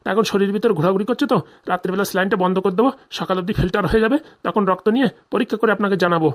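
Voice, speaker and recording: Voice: mid-range voice, rapid-fire, lightly acted. A performer voicing a nervous, evasive small-time male village doctor in a comedy cartoon, stammering, pleading and grumbling. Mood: defensive, explaining.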